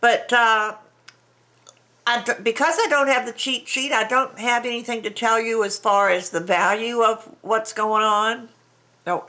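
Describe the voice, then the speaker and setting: A woman's voice: on the high side, bright, forceful tone. An older woman with a congested, stuffy nose, chatting casually and rambling a little as she unboxes a craft kit at home on her camera mic. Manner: chatty and rambling, with restarts and small laughs. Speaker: vlogger unboxing a craft subscription box.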